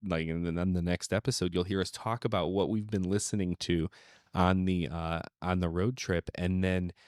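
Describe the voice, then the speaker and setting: A man's voice: low-pitched, steady tone, moderate pace, a little mellow, no room sound, clean studio sound. A man co-hosting a podcast, talking casually and without a script. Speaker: podcast co-host. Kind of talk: casual, unscripted podcast chat.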